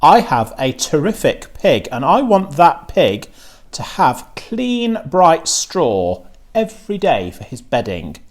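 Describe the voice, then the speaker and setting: A proud storybook farmer as a man reads him, emphatic and commanding. He speaks slowly and deliberately, with a very animated pitch. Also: full character voice. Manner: emphatic, declaratory, giving orders. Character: proud, commanding male farmer, awed by his pig.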